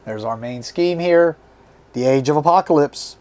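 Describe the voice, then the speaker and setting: A man's voice: a little high, a little mellow. Man with a tiring, strained voice. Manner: reads card names aloud in short halting bursts. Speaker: board game channel host. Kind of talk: casual unboxing commentary.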